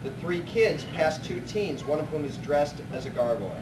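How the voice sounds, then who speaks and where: A man's voice: on the high side, animated, normal speed, close to an everyday voice. A man narrating a live radio play as a plain-spoken storyteller. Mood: storytelling. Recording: echoey noisy hall, distant mic.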